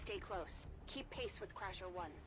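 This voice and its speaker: Woman acting a steady military commander. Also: mid-range voice, measured pace, lightly acted. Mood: cautious, firm. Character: cautious, steady military mission commander guiding squad. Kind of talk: tactical radio instructions. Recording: game audio with music behind.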